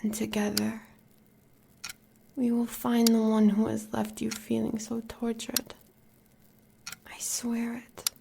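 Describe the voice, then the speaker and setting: With clear noise behind her, a woman acts out a devoted, fierce American huntress in an audio roleplay. Her voice is breathy and creaky, edging toward a whisper. She consoles her lover in slow, solemn, poetic phrases. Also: fairly high pitch, monotone, exaggerated voice. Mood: fierce devotion. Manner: solemn, measured, poetic delivery.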